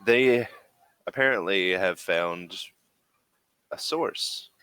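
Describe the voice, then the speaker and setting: A man playing a secretive, persuasive corporate agent in a tabletop game, speaking in a deliberate, halting, emphatic way. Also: deep voice, fairly bright tone, smooth, no room sound, full character voice. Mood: secretive, grave. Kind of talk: in-character tabletop role-play.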